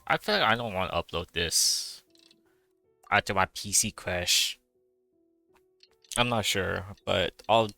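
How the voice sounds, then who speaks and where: A man with a lowish but very bright, forceful voice streams a game. His commentary is casual and rambling, with music faintly behind him. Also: no room sound. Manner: rambling, repeats himself, swears.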